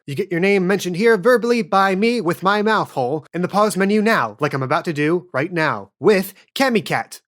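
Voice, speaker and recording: Playful podcast game master, mid-pitched voice. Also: fairly bright tone. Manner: playful, jokey. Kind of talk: scripted-casual announcement and game narration.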